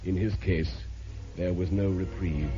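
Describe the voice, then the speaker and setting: An actor playing a grave, knowing narrator who tells crime tales with dramatic moralizing in old-time radio style. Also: male voice, low voice, fairly even intonation, a little slow. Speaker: narrator and host. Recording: muffled vintage radio recording. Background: loud music.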